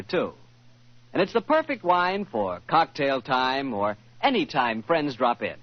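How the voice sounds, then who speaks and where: A man's voice: fairly high pitch, no room sound. A man with an American accent announcing in polished, salesmanlike 1940s sponsored radio style. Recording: dull lo-fi 1940s radio recording.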